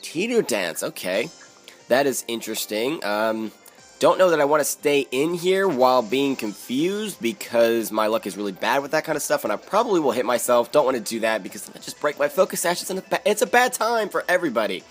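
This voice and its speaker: Casting: hoarse Philly-young man, chatty gaming commentary, faint music bed. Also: medium pitch, big pitch swings, brisk. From Philadelphia, USA. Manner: chatty, strategizing aloud, frequent fillers. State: hoarse, raspy from yelling. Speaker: gaming YouTuber. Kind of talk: live game commentary.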